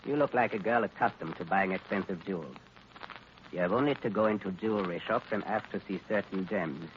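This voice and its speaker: An actor playing a cold crooked lawyer and crime boss, smooth-talking and threatening, in 1940s radio style. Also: male voice, deep voice, brisk, natural voice. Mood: calm threat. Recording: muffled, hissy old radio recording.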